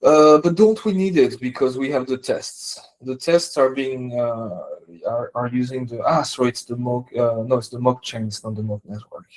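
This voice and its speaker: A man who works as a software developer, talking casually and tentatively through technical points on a team call. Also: mid-range voice, steady tone, moderate pace, poor audio.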